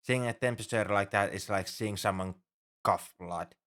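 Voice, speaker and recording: Anxious, low-voiced alien crewmate acted by a man. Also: normal speed, fairly bright tone, natural voice. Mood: earnest, explaining. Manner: fretful, formal, over-earnest, flustered. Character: anxious, paranoid male alien crewmate fussing over human. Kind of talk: ASMR roleplay audio drama.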